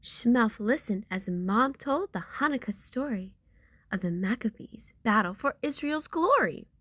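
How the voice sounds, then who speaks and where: Animated, high-voiced woman reading a storybook. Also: unhurried, no echo, clean studio sound. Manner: theatrical, storyteller emphasis. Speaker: children's story reader. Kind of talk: expressive rhyming picture-book narration.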